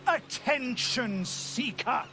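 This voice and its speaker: Zealous cult orator, very high pitch, extremely slow, pompous, declamatory, theatrical game villain, music. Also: male voice, sharp tone, full character voice. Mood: accusing. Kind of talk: scripted video game acting.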